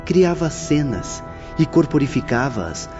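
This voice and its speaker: A man's voice: fairly high pitch, big pitch swings, a little slow. A man reading scripted audiobook narration in a formal, solemn manner. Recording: dull lo-fi audio, faint music.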